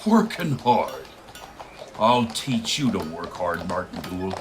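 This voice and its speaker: Impatient village blacksmith for radio drama; commanding taskmaster, lively intonation, slightly noisy ambience. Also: male voice, sits low, slow pace, exaggerated voice. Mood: stern, contemptuous. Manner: scolding, commanding. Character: hard, bossy Irish village blacksmith man, impatient taskmaster. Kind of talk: theatrical radio play acting.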